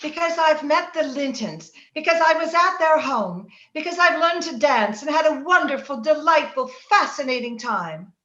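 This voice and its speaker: Woman acting a high-voiced, passionate, willful young woman. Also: animated, normal speed, hardly any pauses, sharp tone. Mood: haughty. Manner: theatrical, impetuous. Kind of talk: old-time radio drama acting. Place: indoor room, remote video-call session. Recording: roomy, dull video-call audio, faint noise.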